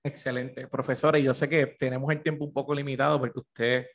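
A man with a Puerto Rican accent hosting a podcast interview, speaking formally and politely and often pausing with "eh". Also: medium pitch, little pitch movement. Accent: Puerto Rican Spanish. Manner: formal and deferential, fills pauses with "eh". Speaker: podcast host. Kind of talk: formal interview with a sponsor read.

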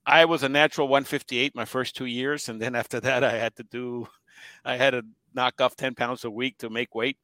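A man's voice: medium pitch, steady tone, fairly quick, sharp tone, dry recording. A man in his sixties from Puerto Rico, a guest broadcaster telling stories in a casual interview, often chuckling and restarting his sentences.

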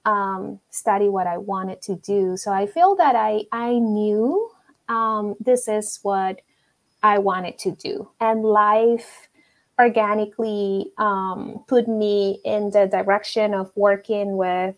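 A woman's voice: medium pitch, fairly even intonation, takes it slowly. A woman, a therapist guest telling her story reflectively with frequent ums. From Venezuela. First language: Spanish. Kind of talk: casual interview conversation.

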